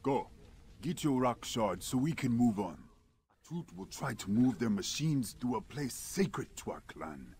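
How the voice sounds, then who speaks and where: A man's voice: mid-range voice, very animated, a little slow, darkish tone. A man playing a proud, hostile alien warrior in a video game, curt and scornful, speaking in stilted, formal phrases.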